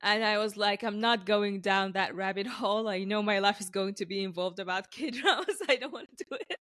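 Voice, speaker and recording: A woman's voice: mid-range voice, fairly quick, no echo. A young woman hosting a podcast, Arabic her first language, chatting with many affirmations, laughs and restarts. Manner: warm encouraging interjections, laughs often, restarts. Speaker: podcast host. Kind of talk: casual conversational interview.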